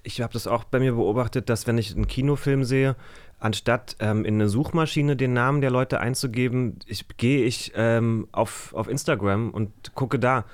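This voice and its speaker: A man's voice: mid-range voice, moderate pace. A man chatting casually as a podcast guest, hesitant and thinking aloud as he goes. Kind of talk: casual chat.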